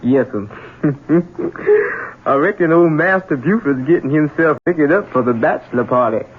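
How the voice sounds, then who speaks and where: An actor playing a big, simple, good-natured Southern manservant in a radio drama, folksy and slow-witted. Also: male voice, fairly high pitch, sing-song. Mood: amused. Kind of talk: old-time radio drama acting. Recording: tinny vintage radio broadcast, noisy.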